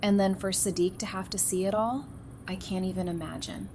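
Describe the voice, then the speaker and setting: A woman's voice: medium pitch, steady tone, a little fast, a little mellow, lightly acted. A woman playing a grieving, devoted partner and mother in an audio drama, on a noisy recording. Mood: concerned, tender. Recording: noisy home recording, background hiss.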